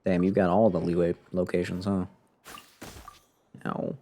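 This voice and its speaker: A man's voice: low-pitched, level tone, a little slow, warm tone, a little hiss or hum. A man doing casual live game commentary, grumbling wryly and talking to himself and the game. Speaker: game streamer. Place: live stream, game music behind the voice.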